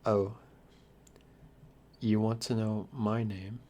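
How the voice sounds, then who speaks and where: A man playing a shy, lonely, youthful vampire lord in an ASMR roleplay, stammering earnestly with hesitant, softly formal manners. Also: lowish voice, flat delivery, takes it slowly. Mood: shy, amused. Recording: clear background hiss and noise.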